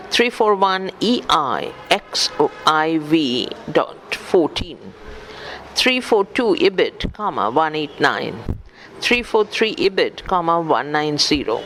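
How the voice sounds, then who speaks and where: A man's voice: on the high side, bright, forceful tone, slight background noise. A man reading an academic book aloud methodically, spelling out references and speaking the punctuation. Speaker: audiobook reader for visually handicapped listeners.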